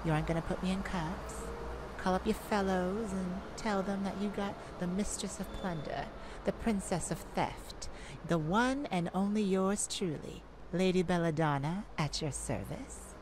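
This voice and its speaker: A woman's voice: lowish voice, moderate pace, noisy background, close to an everyday voice. A woman playing a cocky, flirty female cat burglar in an ASMR roleplay, with a teasing, sassy, theatrical delivery. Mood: flirty, smug.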